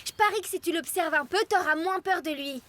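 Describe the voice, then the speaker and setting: Very high-voiced sensible schoolgirl. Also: brisk, fairly bright tone. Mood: reasoning. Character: bright, sensible schoolgirl, bossy but caring big sister. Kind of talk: animated cartoon dubbing.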